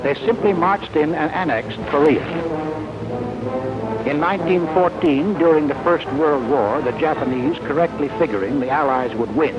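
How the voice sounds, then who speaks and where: A man's voice: fairly high pitch, normal speed. A man narrating a 1940s propaganda film in an emphatic, sardonic manner. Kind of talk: 1940s scripted documentary narration. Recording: dull, band-limited 1940s film soundtrack. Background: loud music score.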